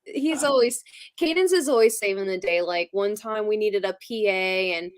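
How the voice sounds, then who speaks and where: A woman's voice: mid-range voice, normal speed, no echo, clean studio sound. A woman chatting casually in a giggly manner, peppering her talk with "like". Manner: giggly, frequent laughing, heavy use of "like". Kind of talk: casual chat.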